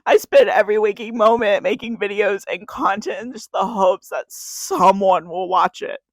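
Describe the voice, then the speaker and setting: A woman's voice: medium pitch, moderate pace, no echo. A 21-year-old woman, crying as she reads a confessional spoken-word poem to camera, with a repeating refrain. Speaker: YouTuber.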